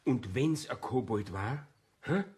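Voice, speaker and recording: An actor playing an earnest, insistent master plumber with a Bavarian accent and a husky voice, coaxing in a radio play. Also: male voice, mid-range voice, lively intonation, slow, a little mellow, exaggerated voice. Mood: pleading, earnest. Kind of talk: German radio play dialogue.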